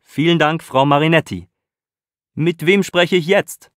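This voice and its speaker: A man's voice: a little high, a little slow, no echo, clean studio sound, natural voice. A man playing a polite radio host. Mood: welcoming. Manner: polite, clearly enunciated. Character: friendly male radio host, middle-aged. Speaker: radio show host in a listening exercise. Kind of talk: scripted radio host dialogue.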